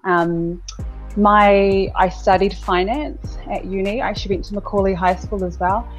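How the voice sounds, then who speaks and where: A woman's voice: mid-range voice, steady tone, normal speed, soft background music, rough recording. A woman in her thirties from New Zealand, a business owner talking candidly as a podcast guest, chatty with frequent laughs and repeated words. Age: 30s. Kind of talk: casual interview chat.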